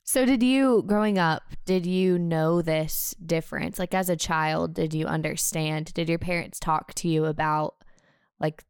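Woman cohost, lowish smooth voice, curious gentle questions. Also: a little slow, dry recording, clean studio sound. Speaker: podcast co-host. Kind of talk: casual interview conversation.

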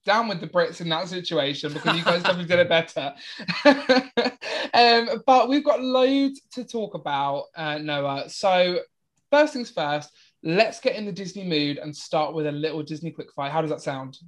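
A woman's voice: fairly low pitch, moderate pace. A British woman from the UK hosting a podcast interview, bubbly and gushing. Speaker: podcast host.

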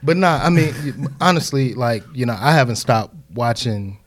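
A man's voice: mid-range voice, expressive, moderate pace. A man in his thirties in a casual group discussion, building reasoned points with frequent hedges. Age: 30s.

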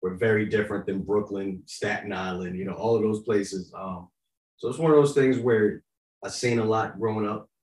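A low-pitched man with a New York accent talks casually as a podcast guest. He tells things in an animated way full of street slang tags, and the room echoes around his voice. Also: normal speed. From Yonkers, New York, United States. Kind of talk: casual conversation.

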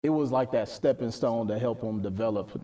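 A man's voice: medium pitch, fairly quick, warm tone, recorded from a distance. A man hosting a podcast interview in an upbeat, affirming way. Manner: upbeat, affirming, frequent "right" and "definitely". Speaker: podcast host.

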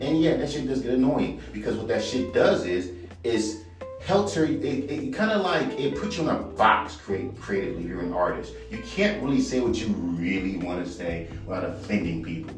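A man with a baritone voice ranting casually and profanely as a podcast host, rambling and animated. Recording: echoey room, far from mic, music behind.

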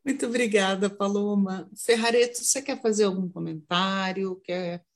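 Woman, medium pitch, panel moderator, thoughtful spontaneous questioning, academic discussion style. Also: fairly even intonation, normal speed.

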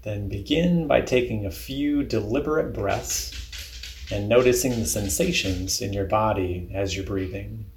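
A man leading a guided body scan meditation in a calm, deliberate, instructional way. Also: on the low side, echoey room, slight background noise.

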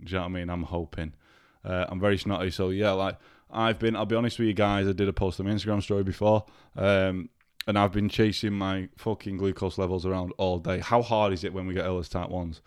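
A man's voice: deep voice, flat delivery, a little fast, clear, resonant tone, no echo, studio quality. A man hosting a casual solo podcast, chatty and swearing freely while sounding ill and congested. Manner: chatty, swears freely, addresses listeners directly. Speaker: podcast host.

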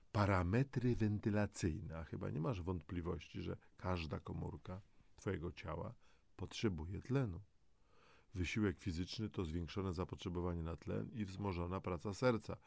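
A man's voice: low voice, vocal fry, darkish tone, no echo. A man giving a scripted motivational health talk, speaking straight to the listener in an emphatic, exhorting way with rhetorical questions. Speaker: presenter of an audio health programme.

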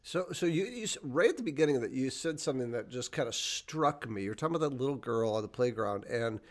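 A man's voice: medium pitch, animated, quick pace. A man speaking thoughtfully and hesitantly in a casual faith discussion, with many fillers. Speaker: podcast co-host.

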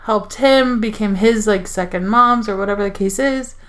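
Young woman from the mexico border area, film chat, stops and restarts a lot, average pitch. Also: moderate pace. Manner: hesitant, many likes and restarts. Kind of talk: casual film review chat.

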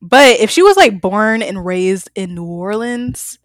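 A woman's voice: medium pitch, unhurried, dry recording, clean studio sound. Candid young Southern woman co-host. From American South. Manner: candid, animated storytelling with bursts of laughter. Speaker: podcast co-host. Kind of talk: casual chat.

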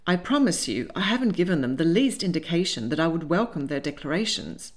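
A woman's voice: on the low side, sing-song, fairly quick. A woman voicing a spirited, polite young society lady in a storybook, proper and earnest. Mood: tight, restrained. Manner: proper, earnest, indignant when teased.

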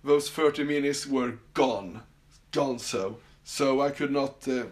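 Swedish-accented male podcast host, halting and filler-heavy, average pitch. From Sweden. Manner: halting, many fillers and restarts. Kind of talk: casual solo podcast monologue. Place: summer house.

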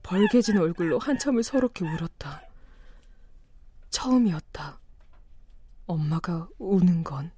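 An actress playing a sharp-tongued young adult daughter who nags her mother and narrates her regret. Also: fairly low pitch, lively intonation, unhurried, pauses often, slight background noise. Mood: hushed narration. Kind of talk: TV drama acting with inner narration.

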